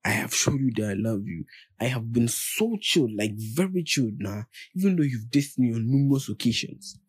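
A man's voice: medium pitch, lively intonation, fairly quick, husky, no room sound. A man acting a bitter, persistent young suitor in an audio play, with a Ghanaian accent. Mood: bitter pleading. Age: young adult. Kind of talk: dramatic dialogue in an audio play.

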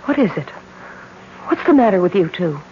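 An actress playing a bewildered, anxious wife with a questioning, agitated manner in a radio drama. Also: female voice, medium pitch, very animated, normal speed, lightly acted. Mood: alarmed confusion. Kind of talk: old-time radio drama acting. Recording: dull, hissy old radio broadcast.

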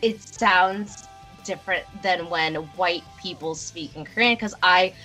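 A woman's voice: on the low side, a little slow, bright, forceful tone, faint music behind, low-quality recording. A woman co-hosting a podcast in casual chat, talking chattily with lots of fillers. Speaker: podcast co-host.

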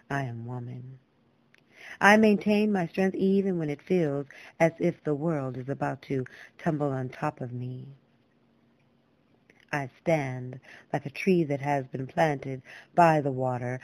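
A woman performs as a featured storyteller and poet. Her delivery is slow and deliberate. She sets out her words in short, separated phrases. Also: low voice, darkish tone, no echo. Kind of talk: spoken word performance with personal introduction.

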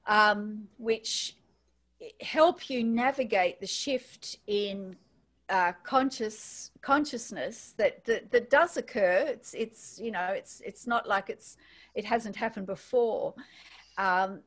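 An Australian woman speaks in a thoughtful, searching way, pausing and restarting as she works toward each point. Her voice sits in a middle register, and she talks at an even conversational pace. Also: medium pitch. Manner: thoughtful, hesitant, restarts phrases. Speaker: guest. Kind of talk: casual spiritual conversation.

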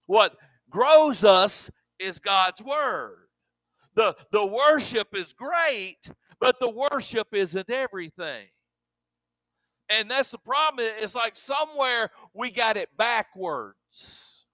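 A man preaching a sermon, describing his own voice as monotone, often repeating words as he restarts. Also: high voice, slow pace, no echo, poor audio. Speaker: pastor.

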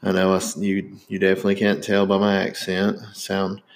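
A man's voice: low-pitched, completely flat delivery, a little fast, creaky. A man in his thirties from Indiana with a Southern accent, hosting a podcast in casual, rambling chat. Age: 30s. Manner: rambling, many fillers and restarts. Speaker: podcast host.

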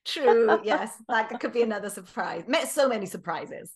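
A woman's voice: a little high, expressive, normal speed, a crowd in the background. A middle-aged woman with a British accent, a guest author chatting on a podcast interview, laughing often and searching for words.

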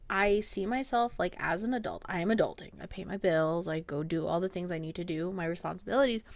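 A woman's voice: mid-range voice, no room sound. A woman in her late twenties co-hosting a podcast in casual chat, telling stories animatedly and laughing often between phrases. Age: late 20s. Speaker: podcast co-host.

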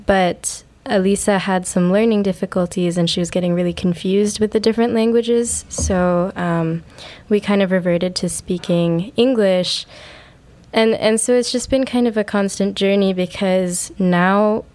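A young woman whose first language was Urdu, telling her own story as a podcast guest in a reflective, hesitant way with many pauses and fillers. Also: mid-range voice, fairly even intonation, a little mellow. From United States. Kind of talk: personal storytelling.

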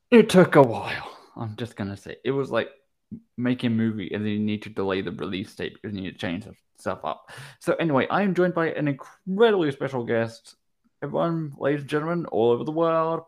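A young man hosts a casual podcast, his voice mid-pitched with a gravelly rasp. He rambles a little, with frequent restarts, as he introduces his guest and puts his questions. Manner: hesitant, rambling, many restarts. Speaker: podcast host.

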